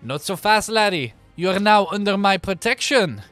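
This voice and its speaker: A man's voice: high-pitched, lively intonation, normal speed, a bit bright, no room sound, faint music behind, pitched above the performer's own voice. A man putting on a gung-ho, protective warrior with an attempted Irish accent for a story reading. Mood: protective, commanding. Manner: blustering, commanding.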